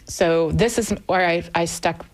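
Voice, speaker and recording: A woman's voice: on the low side, a little slow. A woman in her fifties from the United States, a guest telling her personal story candidly in conversation, often correcting herself. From United States, moved often as a military child, Minnesota family. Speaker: interview guest. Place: radio studio.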